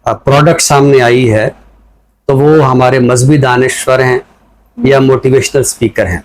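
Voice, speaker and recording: A man with a Pakistani accent giving reflective, conversational commentary as a scholar, explaining things in a measured way. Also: medium pitch, fairly even intonation, poor audio. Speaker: scholar commentator on a talk show.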